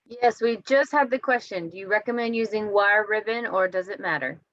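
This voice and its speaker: A woman's voice: medium pitch, moderate pace, fairly bright tone, lo-fi sound. A woman co-hosting a live class, passing on viewers' questions to the instructor in an upbeat way.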